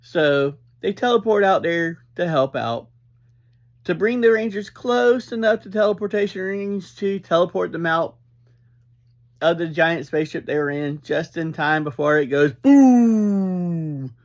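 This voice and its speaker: Male review host, higher-pitched voice, unhurried and halting, emphatic, upbeat, prone to tangents. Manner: halting, easily sidetracked, emphatic; upbeat, energetic. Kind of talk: casual rambling episode review.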